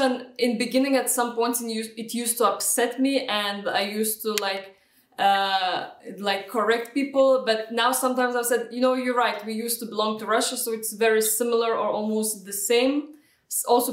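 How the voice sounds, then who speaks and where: A woman with a Lithuanian accent talks through a video call, her voice fairly high and sounding distant. The room around her sounds echoey. She speaks in a chatty way, often pausing with fillers and restarting her sentences. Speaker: guest, real estate agent. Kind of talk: casual interview answers.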